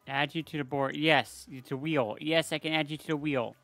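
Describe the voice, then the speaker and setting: Man, game stream host, upbeat bright voice, dry close sound, chats in bursts. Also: fairly high pitch, steady tone, normal speed, dry recording, soft background music. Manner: chatty, talks to viewers and family in bursts. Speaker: livestream host running giveaways. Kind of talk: casual livestream chat.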